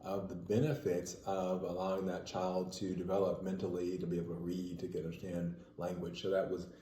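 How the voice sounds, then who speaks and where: A man answering interview questions as a parent, explaining things in a measured way. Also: sits low, monotone, dark tone, echoey room, a little hiss or hum.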